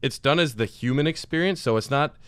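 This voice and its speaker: A man's voice: mid-range voice, fairly quick, fairly bright tone, smooth, no room sound. A man hosting a podcast, chatting in a laid-back, slangy, chummy way. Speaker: podcast host. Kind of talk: casual chat.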